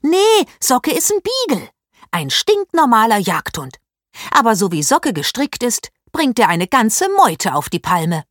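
A woman reading aloud as a bossy know-it-all schoolgirl who talks in a shrill, indignant way. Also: sing-song, normal speed, a bit bright, dry recording, clean studio sound.

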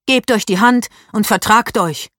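A woman reading aloud as a firm, sensible schoolgirl who speaks in a sharp, commanding way. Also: lowish voice, very animated, moderate pace, dry recording, clean studio sound. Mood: stern.

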